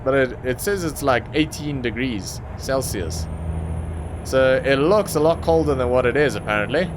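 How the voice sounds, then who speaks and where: A young man with a mid-range voice, an Afrikaans speaker, chatting loosely as he drives in a trucking game. He rambles, fills gaps with ums and circles back to his point. A steady drone of truck engine and road noise sits clearly under his voice. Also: mid-range voice, normal speed. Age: young adult. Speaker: gaming channel host. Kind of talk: casual gameplay commentary.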